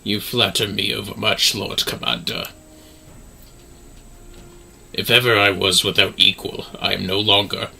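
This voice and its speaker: Man putting on a hushed, grave knightly dragoon. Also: lowish voice, steady tone, moderate pace, vocal fry, pitched below the performer's own voice, exaggerated voice, rough recording. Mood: solemn, humble. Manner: formal, archaic, restrained.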